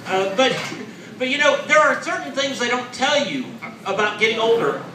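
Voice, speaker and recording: A man's voice: very high voice, lively intonation, normal speed, far from the mic, noisy background. Man in his forties doing stand-up. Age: 40s. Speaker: stand-up comedian. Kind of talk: stand-up comedy set. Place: comedy club stage with live audience. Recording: echoey club, audience laughter.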